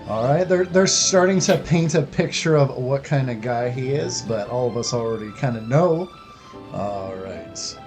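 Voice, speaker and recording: A man's voice: medium pitch, lively intonation, measured pace, over music. A man giving casual, playful commentary on a game playthrough, musing and reading out dialogue options. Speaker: gaming YouTuber.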